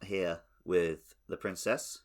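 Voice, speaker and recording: Deep-voiced man in casual discussion. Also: steady tone, takes it slowly. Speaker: podcast host. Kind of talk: casual video game discussion.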